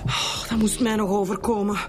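A woman's voice: mid-range voice, steady tone, moderate pace, music in the background, lightly acted. A woman playing a callous, hard-drinking middle-aged mother in a radio drama, blunt and grumbling with a Flemish accent. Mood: self-pitying, bitter. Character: brash, callous middle-aged mother who drinks, sarcastic and self-pitying.

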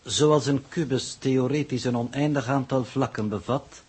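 An actor playing a formal, pedantic and condescending coordinator in a 1960s radio play, with stilted, precise phrasing. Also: male voice, mid-range voice, moderate pace, a little mellow, slightly rough. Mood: lecturing.

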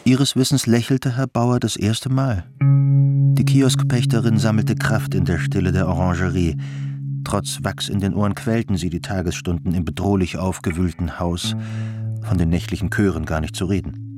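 A man's voice: deep voice, fairly even intonation, moderate pace, music in the background. A man reading scripted literary narration for a radio drama.

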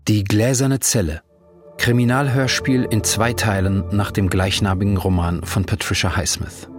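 A man's voice: fairly low pitch, fairly even intonation, moderate pace. A man announcing the title and cast of a radio drama in a scripted read. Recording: loud music under the announcement.

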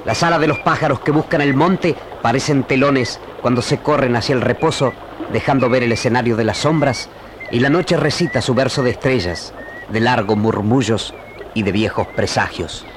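A man's voice: medium pitch, moderate pace, noisy background. A man with an Argentine accent narrating a radio drama in a solemn, poetic, declamatory style.

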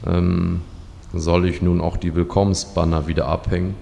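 Very gravelly, deep, deadpan servant. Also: male voice, moderate pace. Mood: dry, solemn. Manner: formal, deadpan, solemn courtly phrasing. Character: dour, deferential male servant of a fire lord. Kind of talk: comedic fantasy audio drama parody. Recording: long hall reverb, faint noise.

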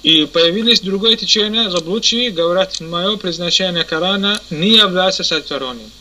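A man teaching a religious lesson. He pauses often, corrects himself, and keeps asking if his listeners understand. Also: high voice, bright, forceful tone, a little hiss or hum, poor audio. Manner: halting, many fillers and self-corrections. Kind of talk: explanatory lesson.

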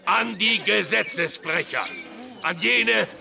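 Gravelly, high-pitched radical preacher. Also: male voice, lively intonation, slow pace, heavily acted. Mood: fervent. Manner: declamatory, preaching. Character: fiery male radical preacher championing outcasts. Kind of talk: German radio drama acting. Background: music.

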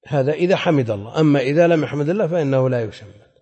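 In a reverberant hall, a man gives an explanatory religious lecture. His voice is mellow and husky, at a medium pitch. He teaches conversationally, often turning to pose questions to his class. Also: lively intonation, racing, lo-fi sound. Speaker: Islamic scholar teaching a hadith class. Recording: echoey hall with long reverb.

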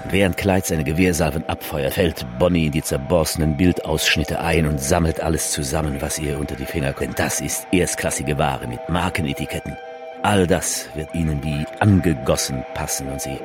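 An actor narrating a radio drama about an outlaw couple in scripted, dramatic storytelling. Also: male voice, a bass voice, normal speed, over music. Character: male narrator recounting an outlaw couple's rampage.

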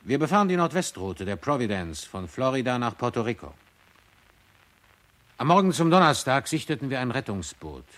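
A man's voice: mid-range voice, big pitch swings, moderate pace, a bit bright. A man playing a plainspoken tanker sailor, reporting factually as a witness in a radio play. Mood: matter-of-fact.

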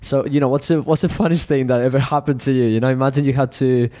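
Mellow-voiced male podcast host. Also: medium pitch, fast. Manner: relaxed, many "you know" fillers. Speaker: podcast host, English teacher. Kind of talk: casual interview chat.

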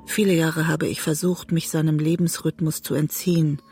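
Guilt-ridden wife in a bitter marriage, gravelly voice, inward radio-play monologue, soft music underneath. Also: female voice, low voice, flat delivery. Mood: weary, detached. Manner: introspective, measured storytelling. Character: disillusioned, guilt-ridden woman writer trapped in a bitter marriage. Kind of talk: literary radio drama monologue, first-person narration.

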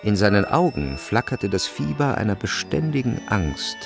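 A man narrates a literary radio drama in a low voice with plenty of gravel and fry. He reads from a script as the storyteller, with faint music behind him. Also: sing-song, normal speed.

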